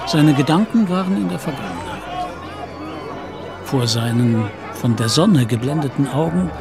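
An actor as the grave narrator of a literary radio drama, speaking in a measured, deliberate way. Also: male voice, mid-range voice. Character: grave, unhurried storyteller of a literary tale.

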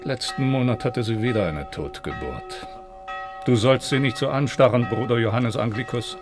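A man's voice: mid-range voice, normal speed, close to an everyday voice. An actor playing a pious, gossiping medieval monk who admonishes his brethren in a German radio drama. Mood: admonishing. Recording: bells and music behind.

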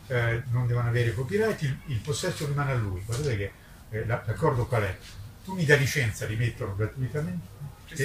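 Older man, publisher at a live literary talk, insistent and combative, caught from a distance over clear noise. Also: mid-range voice. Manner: emphatic, polemical, repeats words for emphasis. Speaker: independent publisher. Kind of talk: impromptu speech at a literary book presentation. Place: live book presentation at a festival event. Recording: distant mic, echoey, noisy ambience.

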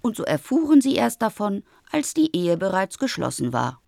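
A woman playing a proud, hard-up mother of many children in a literary radio play, with an expressive, pointed delivery. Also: medium pitch, moderate pace, gravelly. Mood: pleading pride.

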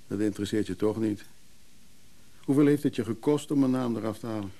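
Radio play role: needy, resentful man down on his luck, creaky mellow voice, pleading. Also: fairly low pitch. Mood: reproachful. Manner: reproachful, pleading. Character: bitter, needy, down-on-his-luck brother, a recovering drinker. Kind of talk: radio drama acting.

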